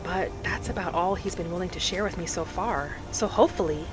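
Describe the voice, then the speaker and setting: A woman voicing a gentle young wood elf alchemist in a fantasy game roleplay, soft-spoken and musing to herself without hurry. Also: on the low side, brisk, music in the background, natural voice, rough recording. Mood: calm, musing. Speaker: player of a solo fantasy roleplay video series. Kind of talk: in-character game narration.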